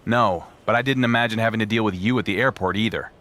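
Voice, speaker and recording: A man's voice: fairly low pitch, fast talker, fairly bright tone, close to an everyday voice. A man playing a confident, defiant pro wrestling champion in a video game cutscene, talking with cocky determination. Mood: dry, cocky. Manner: cocky, determined promo delivery.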